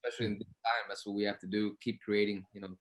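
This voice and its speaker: A man's voice: lowish voice, little pitch movement, rapid-fire. A man, an actor, talking casually and informally in a panel discussion. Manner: informal, peppered with "you know".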